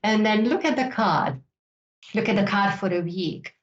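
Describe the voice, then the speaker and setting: A woman's voice: mid-range voice, unhurried. Hungarian-accented woman host, lo-fi sound. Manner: rambling, repeats words, adds "you know". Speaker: psychic show host. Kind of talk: casual teaching talk. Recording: dull lo-fi sound.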